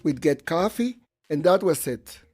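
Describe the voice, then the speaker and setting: Guy reading translated life stories, really mellow warm voice, bit of music underneath. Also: on the high side, lively intonation, measured pace. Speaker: English voice-over translator. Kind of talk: first-person storytelling voice-over. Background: faint music.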